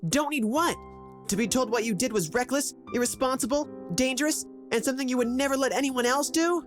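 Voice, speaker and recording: Woman as a frank, lightly high-voiced crewmate. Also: brisk, soft background music, natural voice. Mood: scolding. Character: frank, protective female friend aboard a warship. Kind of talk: audio drama acting.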